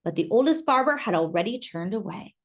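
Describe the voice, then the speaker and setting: A woman reading a children's picture book aloud, expressive and animated. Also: medium pitch, fairly quick, vocal fry. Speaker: storyteller.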